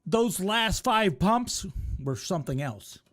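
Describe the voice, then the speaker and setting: A man's voice: high voice, sing-song, takes it slowly, fairly bright tone. A man hosting a casual storytelling stream, speaking conversationally with some swearing and repeating himself for emphasis. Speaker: storyteller and host reacting to a video.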